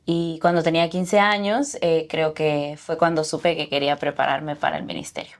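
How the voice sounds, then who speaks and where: Woman in her twenties from Ecuador, guest voice, somewhat low, soft and halting delivery. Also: fairly even intonation. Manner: soft-spoken, hesitant with many fillers. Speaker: graduating seminary student, guest. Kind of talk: interview answers.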